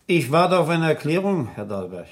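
An actor playing an unhurried, probing police inspector in a 1970s German radio crime drama, insistent and colloquial. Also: male voice, fairly high pitch, big pitch swings. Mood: firm, confronting. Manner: insistent, colloquial, ends questions with tags.

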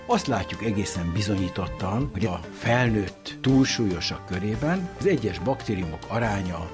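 A man's voice: on the low side, animated, music in the background. A man, a medical expert, explaining findings in an interview.

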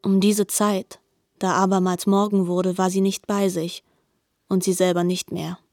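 A woman's voice: mid-range voice, little pitch movement, measured pace, darkish tone, no echo, clean studio sound, lightly acted. A woman narrating a literary radio drama as a grave, articulate storyteller.

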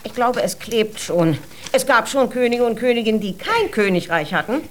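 A woman playing a doting but easily indignant mother, expressive and gently scolding, in a children's radio play. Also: on the high side, normal speed, a little hiss or hum. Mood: storytelling. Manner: expressive, fairy-tale storytelling, gently scolding. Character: doting, indignant new mother and poor would-be queen.

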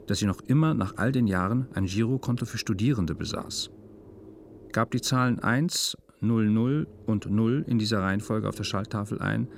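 Low-voiced, detached male narrator. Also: creaky, a little hiss or hum. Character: detached male first-person narrator of a melancholy story. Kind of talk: scripted literary narration in radio drama.

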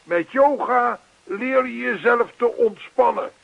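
A chatty older neighbour guy in a radio play, really high creaky voice, keeps earnestly pushing, thin phone-like sound. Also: slow pace, no echo, exaggerated voice. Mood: earnest, reassuring. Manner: persistent, reassuring, long-winded. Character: earnest, chatty older male neighbour zealous about yoga.

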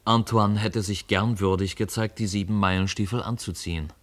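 Male narrator for radio drama: very low voice, measured, articulate literary storytelling. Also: steady tone.

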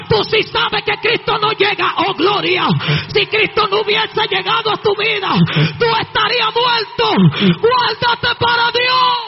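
A woman with a Dominican Spanish accent preaching a fervent Pentecostal testimony, impassioned and shouting, with repeated exhortations. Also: very high voice, gravelly. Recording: dull lo-fi sound with faint music. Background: faint music.

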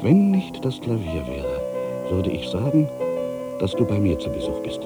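An older man playing an ageing, bitter writer in a literary radio drama monologue, musing theatrically with rhetorical questions and pauses. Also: on the low side, wildly swooping pitch, over loud music. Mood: wistful, insistent. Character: ageing male writer, brooding, bitter, addressing his past.

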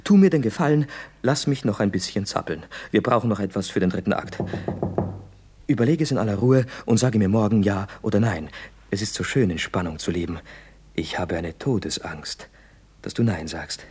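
A man's voice: lowish voice, moderate pace, a little mellow, husky. An actor playing a blustering, teasing middle-aged father and lover in a theatrical German radio comedy, emphatic and full of stammered repetitions. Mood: teasing, tender. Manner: emphatic, stammering repetitions, swinging from scolding to tender.

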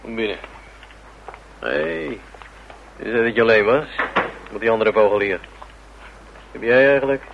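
A cynical, boozy trumpeter in a radio drama, with a lowish voice and a gruff, sneering edge. He swears freely and grumbles, on an old muffled recording with heavy noise. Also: normal speed, long pauses. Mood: brusque, mocking. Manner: gruff, sneering, often muttering to himself. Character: cynical, hard-drinking, foul-mouthed male jazz trumpeter. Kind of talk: Dutch radio play acting.

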